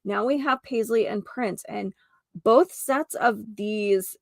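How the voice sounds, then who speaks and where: A woman's voice: medium pitch, slow, gravelly, no room sound, studio quality. A woman hosting an informal spiritual teaching podcast, explaining earnestly with emphatic repetition, partly reading from notes. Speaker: podcast host. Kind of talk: informal spiritual lesson, part read from notes.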